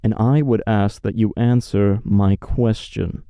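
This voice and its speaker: Man acting a dark-voiced, imperious villain. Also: on the low side, steady tone, vocal fry, smooth, dry recording, clean studio sound. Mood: cold disdain. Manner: measured, grandiose, menacing. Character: cold, imperious villain, male creator of a dream realm. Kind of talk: audio drama acting.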